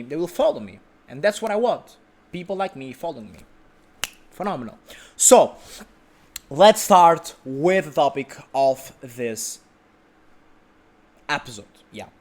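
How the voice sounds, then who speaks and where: A confident man talks straight to camera from his sofa at home, brash and sure of himself. His voice sits a little high and swings widely in pitch as he rambles, restarting sentences and tossing in the occasional swear word. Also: expressive, a little slow, pauses often, darkish tone. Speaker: vlog host. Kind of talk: unedited casual monologue.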